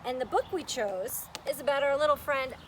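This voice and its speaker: High-voiced woman leading children's story time. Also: a little fast, slight background noise. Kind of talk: children's storytime read-aloud and craft instruction.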